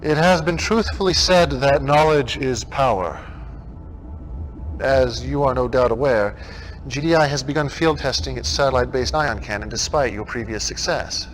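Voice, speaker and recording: A man playing a commanding, calculating cult-like military leader in a video game briefing, speaking in a measured, solemn, imperious way. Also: medium pitch, close to an everyday voice, low-quality recording. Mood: solemn resolve. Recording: background music.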